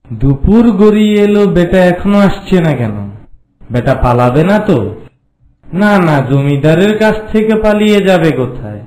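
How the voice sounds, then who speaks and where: A man's voice: high-pitched, lively intonation, normal speed, darkish tone. A man voicing a greedy, gullible landlord in a folk-tale cartoon, self-satisfied and given to exclaiming to himself. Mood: impatient. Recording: echoey room sound.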